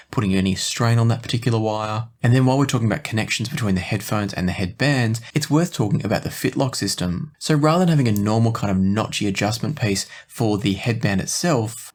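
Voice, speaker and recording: A man presenting a product review as host, explaining details with enthusiasm. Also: on the low side. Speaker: host of an audio gear review show.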